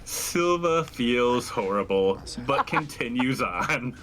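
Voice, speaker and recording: A man's voice: medium pitch, lively intonation, slow. A man talking casually as a player in a tabletop roleplaying game, describing what his character does.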